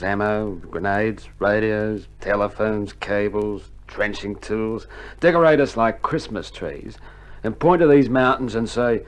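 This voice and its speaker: An actor playing a cheeky, complaining Australian army private in a radio drama, talking in slangy colloquial style. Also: male voice, fairly low pitch, lightly acted. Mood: sardonic. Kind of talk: 1960s radio drama acting. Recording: noisy old vinyl radio recording.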